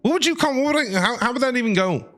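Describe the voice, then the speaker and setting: A man's voice: very high voice, lively intonation, racing, fairly bright tone. A man casually reacting to a game as a streamer, chatty and jokey. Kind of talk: casual live reaction commentary.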